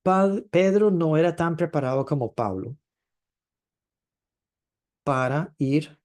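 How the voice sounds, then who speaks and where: Male preacher, warm dark tone, reflective delivery with pauses and drawn-out emphasis. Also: a little high, expressive. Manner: thoughtful, with frequent hesitations and drawn-out stresses. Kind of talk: sermon.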